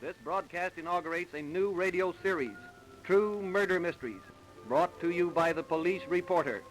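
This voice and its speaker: A man's voice: sits high, moderate pace, poor audio. A man announcing a radio series in a formal, scripted 1930s style. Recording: vintage radio broadcast with music.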